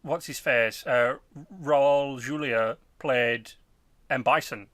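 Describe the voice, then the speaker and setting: Podcast host, male, twenties. Middle pitch, informal and animated, dry close sound. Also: slow pace, no echo. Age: 20s. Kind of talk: casual chat about films and games.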